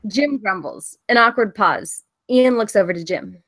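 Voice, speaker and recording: A woman's voice: mid-range voice, animated, moderate pace, fairly bright tone. A woman reading the stage directions of a film script as scripted narration at a table read.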